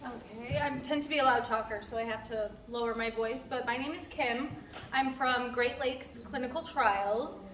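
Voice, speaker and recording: A woman's voice: fairly high pitch, fairly bright tone. A woman giving an informal, earnest presentation to a group, explaining as a company representative. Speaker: clinical trial company representative. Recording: echoey room, distant mic, background noise.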